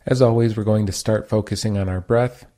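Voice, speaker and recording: A man leading a guided meditation as its teacher, giving calm, gentle, unhurried instructions. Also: on the low side.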